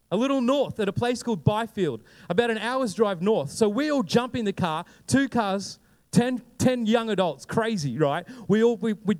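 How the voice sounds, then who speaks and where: A young man with an Australian accent, preaching a sermon and telling a lively story, often asking the congregation questions. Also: sits high, in a large hall. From Queensland, Australia. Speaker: pastor.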